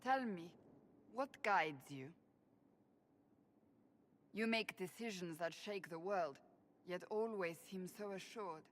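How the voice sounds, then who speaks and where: Here is a proud, devout warrior woman from a video game scene. Her voice sits low and firm, and she weighs each earnest word with care. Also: slow, pauses often.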